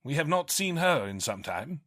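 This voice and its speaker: Actor doing a prim older butler, lively pitch, dry close sound. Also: male voice, normal speed, no echo. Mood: formal. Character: older male butler, formal and polite.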